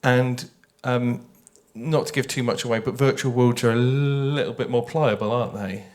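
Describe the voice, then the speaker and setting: A man speaking as a panellist, thoughtful and measured, feeling his way through his phrasing. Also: medium pitch, steady tone. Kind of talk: panel discussion at a festival.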